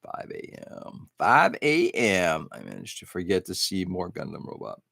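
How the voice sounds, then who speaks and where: A man's voice: sits low, wildly swooping pitch, a little slow, creaky, a bit bright, no room sound, clean studio sound. A middle-aged man hosting an early-morning live stream, rambling casually and answering viewers' chat comments.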